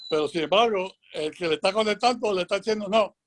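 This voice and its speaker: High-voiced man musing over a question. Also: fast. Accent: Puerto Rican. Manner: careful, thinks aloud with fillers. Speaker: club member asking a question. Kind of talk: casual question on a video call.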